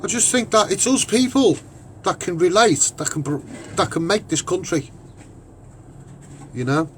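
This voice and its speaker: A man with a Scouse accent and a husky voice giving an off-the-cuff, opinionated monologue, emphatic and rambling, often repeating his phrases. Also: high voice, animated, unhurried, fairly bright tone, a little hiss or hum. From Liverpool, England. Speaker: online broadcaster and activist.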